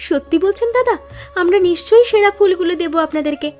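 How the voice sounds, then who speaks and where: Cartoon flower girl woman acting: extremely high voice, earnest, grateful, respectful, soft music underneath. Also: brisk. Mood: hopeful, proud. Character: two hardworking young flower-seller sisters, earnest and grateful. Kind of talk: cartoon moral story voice acting.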